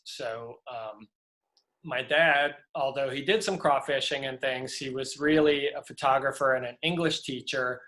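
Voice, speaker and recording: A man's voice: medium pitch, fairly bright tone, rough recording. Man from louisiana, middle-aged, rambling storyteller, plenty of fillers and restarts, video call interview. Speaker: prop master guest.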